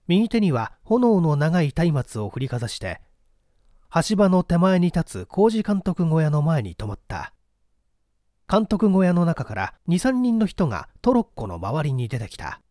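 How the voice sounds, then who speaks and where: A man's voice: a little high, sing-song, moderate pace, dark tone, dry recording, clean studio sound. A man reading a literary story as a dramatic scripted narration. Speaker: audiobook narrator, stage performer.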